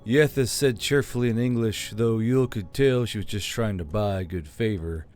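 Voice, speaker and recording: A man's voice: fairly low pitch, moderate pace, darkish tone, soft background music. A man reading scripted fantasy fiction as an audiobook narrator.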